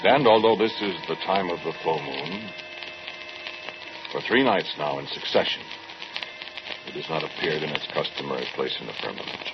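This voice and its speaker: Very deep-voiced, pompous scientist. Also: male voice. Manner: pedantic, pompous, declamatory. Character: proud, obsessive male scientist, coldly rational, defensive doctor. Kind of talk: 1940s radio horror drama acting. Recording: noisy, muffled old radio drama recording.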